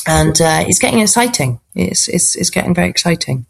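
Posh English woman with a gravelly voice. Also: lowish voice, lively intonation, a little fast, no echo. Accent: posh British English. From England. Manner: thoughtful, repeats words while searching for phrasing. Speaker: stand-up comedian. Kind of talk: casual interview.

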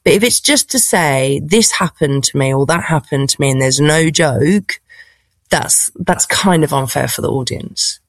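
A woman's voice: deep voice, unhurried, dry recording. A woman with a posh English RP accent answers interview questions conversationally, thinking aloud and searching for her words. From England. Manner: reflective, hesitant, searching for words. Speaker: interview guest, stand-up comedian.

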